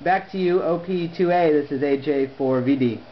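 Guy on ham radio, kinda high voice, talks deliberately, muffled lo-fi audio. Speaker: amateur radio operator. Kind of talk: conversational ham radio exchange. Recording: dull lo-fi sound, faint noise.

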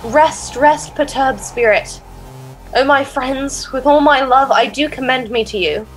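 A woman's voice: high-pitched, a little slow, faint music behind, low-quality recording. A woman playing a brooding, earnest young noble in a theatrical, Shakespearean audio drama manner. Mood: weary, resolved. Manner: theatrical, archaic Shakespearean phrasing. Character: brooding, earnest young Danish noble sworn to avenge a father.

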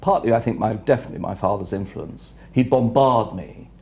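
An older man, a retired headmaster, answering interview questions in a measured, reflective way with frequent pauses, recorded on a lapel mic in an office room. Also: lowish voice, vocal fry, darkish tone. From England. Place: office room with city view window.

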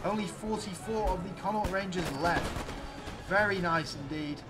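A man giving excited, exclamatory play-by-play commentary on a video game battle, addressing his viewers. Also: sits high, normal speed, music in the background.

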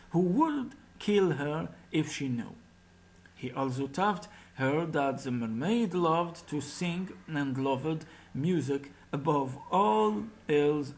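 A man narrating a scripted folk tale as a storyteller, reading aloud in a slightly halting way. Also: a little high, rough recording.

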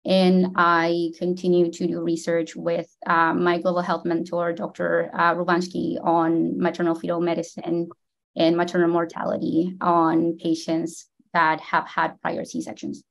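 A woman's voice: fairly low pitch, completely flat delivery, unhurried, creaky. A woman in her thirties with a Chilean accent, a native Spanish speaker, recounting her career as a panelist on a video call. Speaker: panelist, midwife. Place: indoor room, video call.

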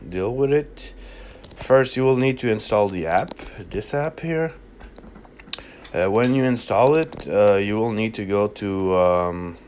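Slow, low-voiced man. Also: darkish tone, slight background noise. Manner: halting, hesitant delivery. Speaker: app tutorial presenter. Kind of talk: casual unscripted screen-recording walkthrough.

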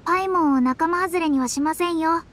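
A woman voicing a proud, composed young woman astrologer in a game, speaking politely and formally with quiet self-assurance. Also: very high-pitched, brisk, slight background noise. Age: young adult. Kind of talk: game voice acting.

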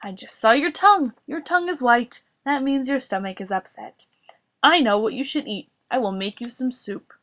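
A woman voicing an exasperated, bossy male storybook caretaker who fusses, scolds and often shouts. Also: high voice, moderate pace. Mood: explaining.

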